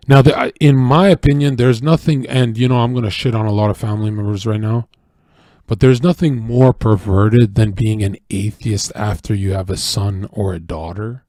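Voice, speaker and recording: A man's voice: fairly low pitch, steady tone, normal speed, mellow, dry recording, clean studio sound. A tired man delivering a rambling, profane, preachy monologue as a streamer, with frequent restarts. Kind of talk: casual opinionated monologue.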